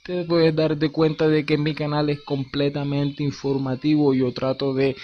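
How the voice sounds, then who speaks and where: A man's voice: on the high side, completely flat delivery, normal speed. A man, talking viewers through a game on screen in an informal, slangy way. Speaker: crypto game tutorial host. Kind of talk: screen-recorded tutorial narration. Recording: dull lo-fi voice with faint hiss.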